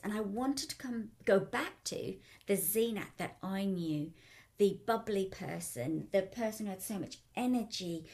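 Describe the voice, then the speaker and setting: A woman hosting a radio talk show as a life coach, upbeat and chatty, her voice still croaky. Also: medium pitch, darkish tone.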